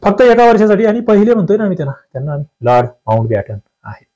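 Male coaching teacher, Maharashtrian accent, soft mellow tone, instructive and emphatic, walks through quiz questions. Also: high voice, sing-song, racing, dull audio. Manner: instructive, emphatic, often asks rhetorical questions. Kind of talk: quiz-paper lesson, reading questions and answers aloud.

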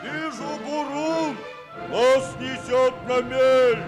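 Медлительного бестолкового матроса. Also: male voice, extremely high pitch, drawn-out words, music in the background, full character voice. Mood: alarmed, muddled. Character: hapless, dutiful, muddled ship's sailor. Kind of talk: Soviet comic radio play acting.

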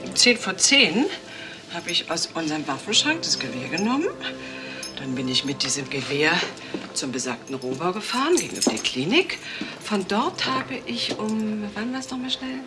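An actress in a German crime radio play voicing a sardonic, defiant woman with a mocking, deliberate manner. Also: on the low side, big pitch swings, music in the background.

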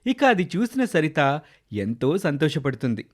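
A man's voice: on the high side, big pitch swings, measured pace. A man narrating a scripted children's moral story.

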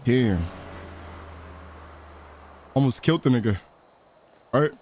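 A man's voice: medium pitch, lively intonation, slow, darkish tone. A man streaming a game, chatting casually in street slang. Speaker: live streamer. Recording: game sirens and noise behind.

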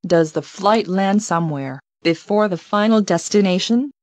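A woman reads short English travel phrases one at a time, slow and careful, as if for learners to repeat. Her voice sits at an ordinary middle pitch. The sound is dry and close with no room around it. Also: no echo. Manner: careful, evenly paced, each phrase read alone.

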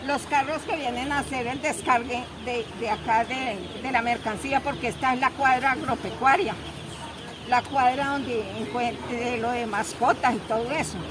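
A woman's voice: fairly high pitch, steady tone, moderate pace. A middle-aged woman from Colombia, a local resident, complaining in a detailed, indignant way during an outdoor street interview. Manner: indignant, detailed, gestures while talking. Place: outdoors on a busy town street. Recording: street noise and traffic behind.

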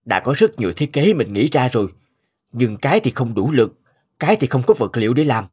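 A man voicing a devoted, determined inventor father in an animated cartoon, speaking earnestly and expressively. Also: medium pitch, a little fast, husky. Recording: music behind the voice.

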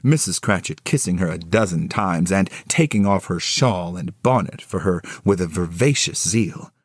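A man giving theatrical storybook narration, expressive and dramatic with measured phrasing. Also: sits low, breathy edge, dry recording, clean studio sound. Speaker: narrator and solo voice actor.

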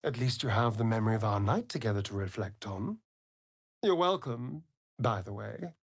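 An actor voicing a vain, sardonic vampire elf rogue in a video game, with an arch, drawling, theatrical delivery. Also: male voice, fairly low pitch, animated, moderate pace, dry recording, studio quality. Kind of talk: video game character voice lines.

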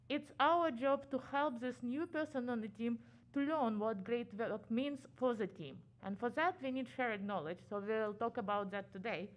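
A woman from Ukraine with a Ukrainian accent, giving a conference talk quickly with many "uh" fillers, her voice muffled by a face mask. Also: fairly high pitch. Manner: fast-talking, frequent "uh" fillers. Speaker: conference speaker. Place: conference room.

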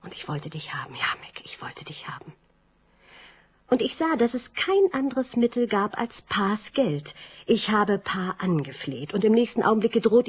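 An actress playing a young heiress confessing her love, speaking softly and often whispering, in a radio drama. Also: medium pitch, husky. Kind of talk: 1980s radio drama acting.

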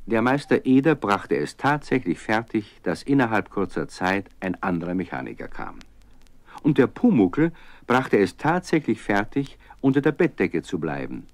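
A man's voice: on the low side, big pitch swings, normal speed, no echo. A man narrating a German children's radio play as a storyteller.

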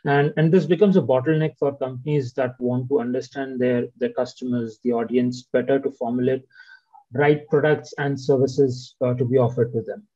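Male presenter on a webinar call, warm tone, muffled call audio, lots of "uh"s. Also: mid-range voice, fairly even intonation, moderate pace. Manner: frequent "uh" and "right?" tags. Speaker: senior product manager presenting. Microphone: video call.

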